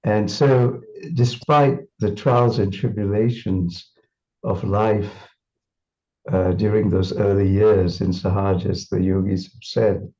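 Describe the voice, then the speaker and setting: A man's voice: fairly low pitch, dark tone, highs cut off. A man from Singapore reminiscing in an interview, thoughtful and full of restarts and fillers. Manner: thoughtful, repeats words, many fillers.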